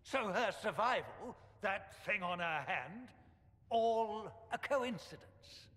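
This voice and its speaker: An actor playing an indignant, haughty church chancellor in a fantasy game, pompous, scornful and accusing. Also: male voice, high-pitched, lively intonation, slow, a bit bright, heavily acted. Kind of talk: dramatic fantasy game acting. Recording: reverberant hall with faint music behind.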